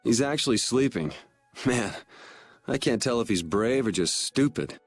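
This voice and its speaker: A man's voice: medium pitch, expressive, unhurried, soft background music. An actor playing a cool, irreverent young swordsman with a casual, sardonic manner in a video game. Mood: wry.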